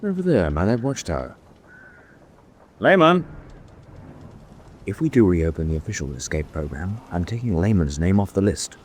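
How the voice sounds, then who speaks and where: Practical senior pow officer, deep voice, lively intonation, clipped, faint noise, war radio drama. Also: male voice, moderate pace, long pauses. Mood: appraising. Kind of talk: vintage-style war radio drama acting. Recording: noisy track with background ambience.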